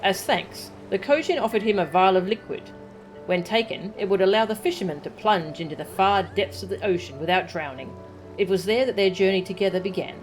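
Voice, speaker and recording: Old, rambling male storyteller from a game read by a woman streamer; steady, middling pitch, faint game music behind. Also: brisk, natural voice, poor audio. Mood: storytelling. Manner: reading game dialogue aloud in a steady storytelling tone.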